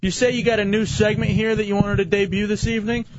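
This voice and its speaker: A man hosting a late-night radio show, joking loudly and bantering with callers and co-hosts. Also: high-pitched, fast talker, muffled recording. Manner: loud, joking, animated. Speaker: radio host.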